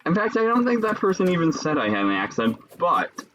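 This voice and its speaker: A young man from Chicago with a Chicago accent, chatting casually with viewers during a game stream and musing between pauses. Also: fairly high pitch, very animated, a bit bright, slight background noise, poor audio. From Chicago, Illinois. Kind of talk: casual live-stream chat while gaming.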